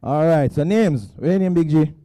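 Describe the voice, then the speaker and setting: A man with a playful, teasing manner, hosting a lively crowd on stage at a student event. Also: fairly high pitch, moderate pace, dry recording, studio quality. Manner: playful, teasing, with local slang. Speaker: event host.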